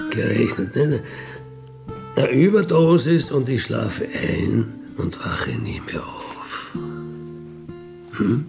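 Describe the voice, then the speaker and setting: An older man with an Austrian accent telling a reflective personal story in a halting, colloquial way with little questioning tags. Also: medium pitch, vocal fry. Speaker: former prisoner telling their life story. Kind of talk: reflective autobiographical monologue.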